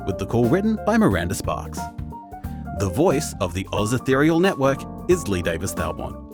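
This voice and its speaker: A man reading scripted end credits as the announcer of a show. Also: medium pitch. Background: guitar music.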